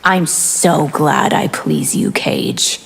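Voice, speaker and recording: A woman's voice: deep voice, very animated, slow, vocal fry, heavily acted. A woman playing a haughty, hungry vampire from another realm, cold and seductive in a video game. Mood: cold menace. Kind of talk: video game fight intro banter. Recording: game audio with background noise.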